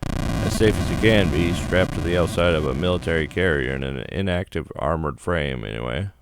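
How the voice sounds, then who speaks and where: A man's voice: rumbling low, animated, normal speed, heavy background noise. A man reading a visual novel aloud as dramatic narration for a gaming channel.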